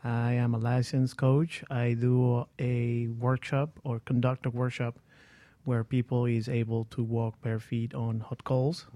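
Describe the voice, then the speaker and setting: A man being interviewed as a guest on a radio talk show, speaking earnestly and at a measured pace as a life coach. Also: medium pitch, level tone, dark tone, no room sound.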